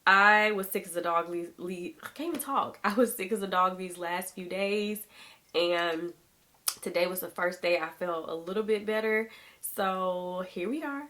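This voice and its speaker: A woman's voice: lowish voice, moderate pace, sharp tone. A thirty-year-old woman vlogging to camera in a chatty, casual way, her throat a little sore as she gets over being sick. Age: 30s. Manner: chatty, addresses viewers as y'all. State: recovering from illness, sore throat.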